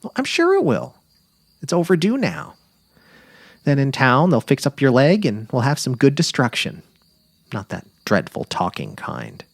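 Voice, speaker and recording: A man's voice: mid-range voice, huge pitch swings, measured pace, vocal fry, dry recording, voice pushed up, full character voice. A man voicing an affectionate middle-aged wife on safari, eager and tender, in a dramatic literary reading. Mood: assured, affectionate. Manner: cheerful, eager, tender, imploring.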